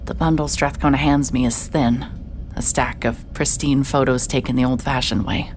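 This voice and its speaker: A woman's voice: very deep voice, steady tone, moderate pace, darkish tone, a little hiss or hum. An actress playing a hard-hearted, guarded female private investigator, sardonic but shaken, in a mystery horror audio drama. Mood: grim, tense.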